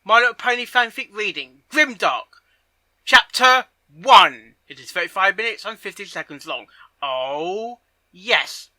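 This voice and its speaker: A man hosting a reaction video, commenting excitably, with loud announcements and halting, muttered asides. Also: sits high, no echo. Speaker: host of a reaction channel.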